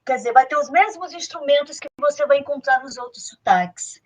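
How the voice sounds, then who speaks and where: A woman's voice: sits high, a little fast. A woman guest, explaining a folk tradition in an interview with many fillers and restarts.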